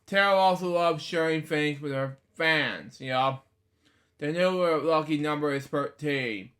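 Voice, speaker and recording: A man in his twenties with a somewhat high voice reads aloud casually as the host of a story series. He stumbles over words, then drifts into rambling, off-the-cuff commentary and falls back on the same catchphrases. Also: fairly bright tone. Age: 20s. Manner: halting, stumbles over words while reading; rambling asides with repeated catchphrases.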